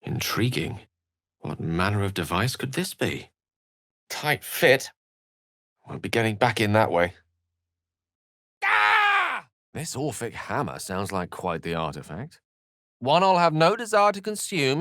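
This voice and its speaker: An eloquent, theatrical wizard, richly expressive. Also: male voice, medium pitch, a little slow, pauses often, dry recording, studio quality, full character voice. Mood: thoughtful, explanatory, then urgent, dramatic. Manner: eloquent, wry, theatrical phrasing. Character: erudite, witty, theatrical male wizard with courteous irony. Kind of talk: fantasy video game voice acting.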